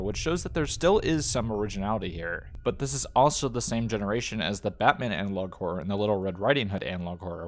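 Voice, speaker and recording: A man's voice: on the low side, slight background noise. A man hosting a video essay, reading a scripted review in a blunt, opinionated, casual way. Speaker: host of a video essay channel.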